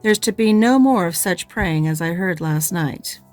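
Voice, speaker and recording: A woman's voice: on the low side, animated, unhurried, a little mellow, soft background music. A woman voicing a stern, dry, unbending middle-aged spinster, curt and disapproving, in an audiobook reading. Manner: curt, disapproving and matter-of-fact.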